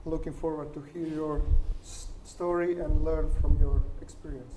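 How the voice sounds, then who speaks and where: A man's voice: on the high side, little pitch movement. A man hosting a local startup event, asking questions with frequent restarts. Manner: halting, with restarts. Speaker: event host, interviewer. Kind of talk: interview questions. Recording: noticeable background noise.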